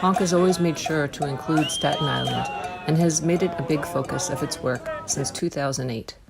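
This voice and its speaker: Gravelly narrator voice, even scripted documentary read, music under it. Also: medium pitch, moderate pace, a loud crowd.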